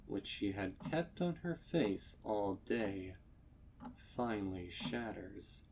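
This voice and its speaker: A man reading a dark story as scripted dramatic narration, measured and with deliberate pauses. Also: lowish voice, slight background noise. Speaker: fan fiction narrator.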